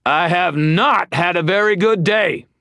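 A man voicing a hot-tempered, sarcastic wizard with a forceful, exasperated manner in an audiobook. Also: sits low, lively intonation, normal speed, no echo, clean studio sound, voice pushed up. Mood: bellowing.

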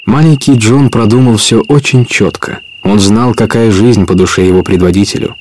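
A man's voice: lowish voice, fairly even intonation, normal speed, darkish tone, slight background noise. A man narrating an adventure audio play in a scripted storytelling style.

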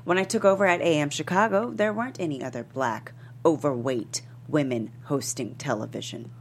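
A woman in a dramatic table read playing a famous talk-show host testifying in court, wounded but defiant, earnest and deliberate. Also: deep voice. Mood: proud, defiant. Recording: noticeable background noise and hum.

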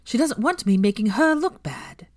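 Woman acting a brittle, high-pitched chirpy young witch. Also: expressive, moderate pace, close to an everyday voice. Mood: weary, bitter. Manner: strained, evasive. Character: brittle, forced-cheerful young witch teacher, wry and harried.

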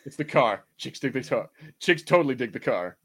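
A man's voice: medium pitch, lively intonation, fairly quick, fairly bright tone, breathy edge. A man co-hosting a podcast, chatting casually through a movie watch party, swearing freely and acting out imagined lines. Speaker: podcast co-host.

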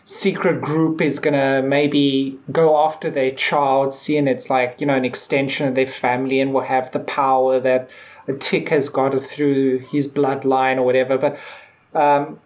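Tentative middle-aged man, higher-pitched podcast voice. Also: flat delivery, measured pace. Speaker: podcast co-host. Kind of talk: casual TV episode review discussion.

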